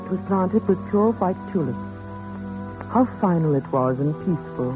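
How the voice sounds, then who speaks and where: An actress playing a gentle, anxious lady with a soothing, hesitant manner in a 1940s radio drama. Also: lowish voice, expressive, normal speed, lightly acted. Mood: troubled, reflective. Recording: muffled 1940s radio recording with music.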